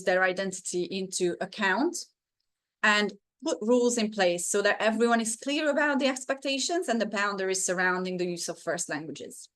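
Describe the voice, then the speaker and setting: Hungarian woman, webinar presenter, slightly high voice, earnest and encouraging, computer mic. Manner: earnest, encouraging, with frequent fillers. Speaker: webinar presenter, teacher trainer.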